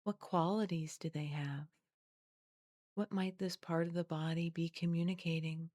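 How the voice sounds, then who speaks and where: A woman guiding a body scan meditation in a gentle, soothing, unhurried way, leaving long pauses between scripted phrases. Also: fairly low pitch, steady tone, no room sound, studio quality. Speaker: guided meditation teacher.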